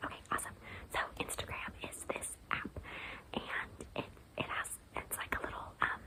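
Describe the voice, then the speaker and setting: Whispering woman, excitable ASMR roleplay. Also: moderate pace, low-quality recording. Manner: excitable, rambling, explaining. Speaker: ASMR content creator.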